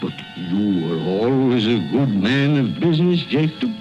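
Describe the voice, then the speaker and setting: A man's voice: medium pitch, very animated, a little slow, darkish tone. An actor playing a miserly, cold-hearted old businessman, skeptical and fearful, in a theatrical, blustering radio drama style. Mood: placating. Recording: dull lo-fi recording with music behind.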